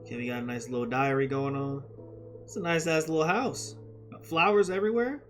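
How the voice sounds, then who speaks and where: A casual gamer reacting to a game in a medium-pitched voice. The talk is loose, slangy and playful, tossed out as joking asides. Game music plays faintly behind. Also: fairly even intonation, brisk. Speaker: gamer commenting on own gameplay. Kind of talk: casual gameplay commentary.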